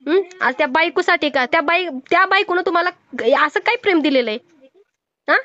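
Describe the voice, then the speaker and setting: A woman's voice: very high-pitched, brisk, sharp tone. A woman with a Maharashtrian Marathi accent on a phone call, insistently admonishing the other person with moralising rhetorical questions. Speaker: old-age home worker taking a call. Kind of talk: phone counselling conversation, admonishing. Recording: muffled lo-fi phone call recording.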